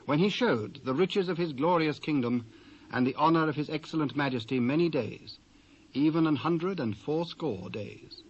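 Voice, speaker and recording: A British man narrating scripture in a measured, solemn storytelling manner. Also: mid-range voice, a little hiss or hum. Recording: dull old cassette transfer.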